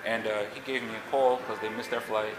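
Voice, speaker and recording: A man from Haiti in his thirties, a pilot and jet company owner, telling his story in a relaxed, rambling interview with lots of fillers. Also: lowish voice, fairly quick. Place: outdoors on a city street. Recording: echoey with loud background noise.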